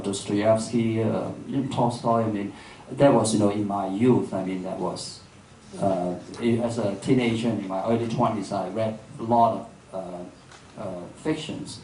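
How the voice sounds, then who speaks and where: Low-voiced man, reflective, distant and echoey. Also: a little mellow. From Hong Kong. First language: Cantonese. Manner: reflective, halting, searching for words. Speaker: filmmaker guest in a public dialogue. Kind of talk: reflective public conversation. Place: event room. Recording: echoey room, distant mic, faint noise.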